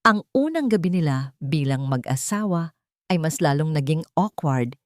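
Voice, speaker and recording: A woman's voice: low voice, sing-song, moderate pace, no room sound, clean studio sound. A woman with a Filipino accent giving dramatic scripted narration of a romance story.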